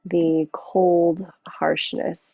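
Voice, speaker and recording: A woman yoga teacher gently guiding a relaxation practice, unhurried and leaving long pauses between phrases. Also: on the low side, flat delivery, vocal fry, dry recording. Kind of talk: guided yoga and relaxation instruction. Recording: muffled narrow phone-like sound.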